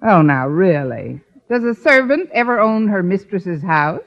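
An actress playing a wry, sarcastic American schoolteacher posing as a haughty mistress in a 1950s radio sitcom. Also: female voice, mid-range voice, big pitch swings, measured pace. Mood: mock haughty. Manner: dry, wisecracking, arch. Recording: dull old radio recording, audience, noise.

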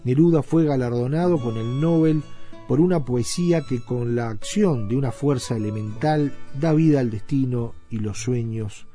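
Guy narrating a radio doc, really soft dark voice, formal, music underneath. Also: mid-range voice, moderate pace. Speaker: radio presenter. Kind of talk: scripted documentary narration.

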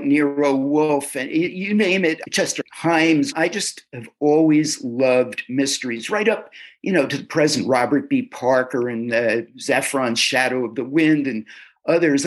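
Man, husky voice, mid pitch, casual interview talk, restarts sentences. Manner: halting, repeats words when starting. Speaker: author guest.

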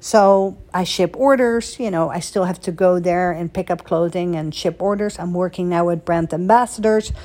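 A woman's voice: lowish voice, moderate pace, few pauses, darkish tone. A woman from the Netherlands, chatting casually and emphatically as she tells personal stories in short, punchy phrases. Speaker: boutique owner hosting a solo social media chat. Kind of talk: casual chat, personal storytelling.

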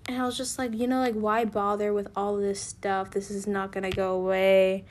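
Young female podcast host, a bit raspy from illness, candid rambling delivery. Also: medium pitch, level tone, moderate pace. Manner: candid, rambling, with frequent restarts. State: raspy from feeling unwell. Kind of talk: casual personal podcast monologue.

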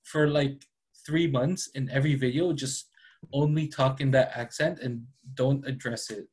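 A man's voice: medium pitch, steady tone, measured pace, a little mellow, husky. A man chatting casually as a podcast guest, laid-back and full of "like". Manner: laid-back, heavy use of "like". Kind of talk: casual chat.

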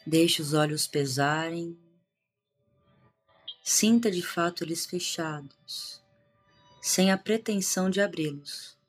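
A Brazilian woman guiding a meditation in a calm, hushed manner with long pauses. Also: on the low side, breathy edge. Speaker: meditation guide, integrative therapist. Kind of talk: guided meditation. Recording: music behind the voice. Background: meditation music.

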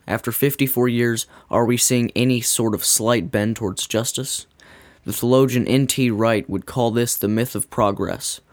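A man reading a scripted devotional in a measured, earnest way. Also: mid-range voice, no echo. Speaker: devotional reader.

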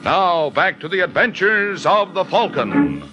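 A man's voice: sits high, sing-song, unhurried, highs cut off. A man giving dramatic scripted narration as the announcer of an old-time radio detective show. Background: organ music.

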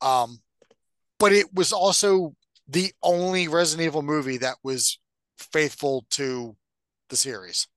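A man's voice: a little high, unhurried, a bit bright, dry recording. A man co-hosting a podcast in casual, opinionated chat about entertainment, blunt and given to casual swearing. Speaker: podcast co-host.

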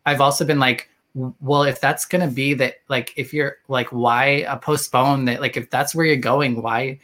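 Guy hosting a video call interview, soft and kinda hesitant, lots of ums, middle pitch. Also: steady tone, normal speed. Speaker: host. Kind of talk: casual interview chat.

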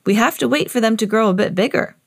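A woman's voice: fairly high pitch, quick pace, vocal fry, pitched above the performer's own voice. A woman voicing a kindly old grandfather pig, explaining patiently in a children's storybook.

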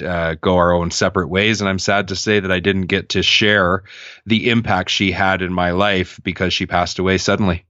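A man's voice: low voice, dry recording, muffled recording. A man in his thirties telling his life story candidly as a podcast guest, earnest and reflective. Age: 30s. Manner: earnest, reflective, animated when quoting others.

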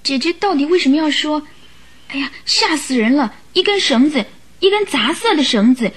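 A woman's voice: sits high, lively intonation, normal speed. An actress in a radio drama playing an earnest, troubled young woman who gives careful, emotive accounts. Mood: puzzled, troubled. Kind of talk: old Chinese radio drama acting.

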